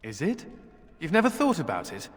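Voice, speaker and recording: A man's voice: a little high, huge pitch swings, moderate pace. A man playing a wry, flippant young-spirited mage in a video game, sardonic and playful. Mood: wistful, wry. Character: flippant, wry male mage, restless escapee. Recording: reverberant hall with faint music.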